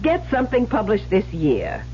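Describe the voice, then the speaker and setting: An actress playing a defensive, high-strung woman writer in a vintage radio drama, emotional and sharp-tongued. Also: big pitch swings, close to an everyday voice. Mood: testy. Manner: emotional, sharp-tongued, halting under pressure. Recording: muffled old radio broadcast with hiss and hum.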